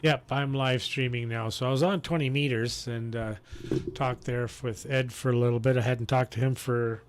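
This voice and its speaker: A man hosting a live-streamed amateur radio session, chatting casually and pausing mid-sentence, speaking into a close broadcast microphone. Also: mid-range voice, steady tone.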